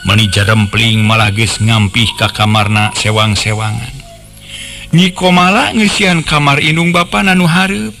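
A man with a lowish voice and a Sundanese accent tells a folk tale over clear background music. He speaks conversationally, turning to his listeners with asides and playful rhetorical questions, in the manner of a radio storyteller. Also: bright, forceful tone.

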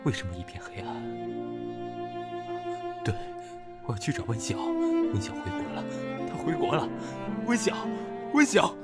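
A man playing a lovesick, anxious and reserved young man in an amateur audio drama, with halting speech. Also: on the high side, wildly swooping pitch. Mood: desperate, searching. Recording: music under the voice.

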